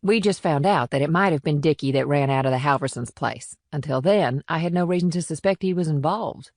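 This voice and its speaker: A man's voice: on the high side, a little fast, dry recording, voice pushed up, close to an everyday voice. A firm small-town policewoman, acted out by a male narrator in a crime audiobook. The delivery is plainspoken and matter-of-fact, with a protective edge toward the locals. The sound is dry, with very little room. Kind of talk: audiobook character dialogue.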